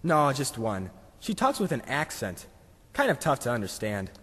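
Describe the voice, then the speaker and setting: A man voices a curious young schoolboy in a puppet show. The voice is husky and swings up and down in pitch, with earnest energy and some hall reverb. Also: huge pitch swings, moderate pace, fairly bright tone, exaggerated voice. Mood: eager. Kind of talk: children's Christmas puppet show.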